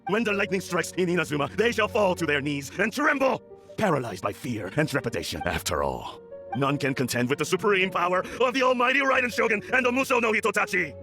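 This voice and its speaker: A man's voice: high-pitched, very animated, fast talker, bright, forceful tone, soft background music, exaggerated voice. An actor playing a proud, scheming middle-aged male clan lord in a video game, pompous and theatrical. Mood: grandiose, fervent. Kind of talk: dramatic video game acting.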